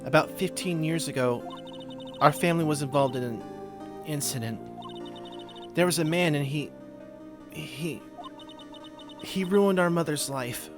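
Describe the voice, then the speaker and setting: Game dub: soft-spoken orphaned girl medium in early adulthood played by a man, raised pitch, earnest, sad, over prominent music. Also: unhurried, long pauses. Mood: forlorn. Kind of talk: amateur video game voice-over.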